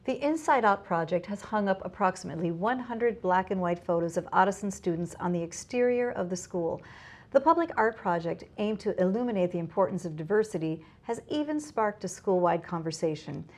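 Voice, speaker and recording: A woman's voice: on the low side, expressive, a little fast, a little mellow. A woman presenting local news in a scripted narration style.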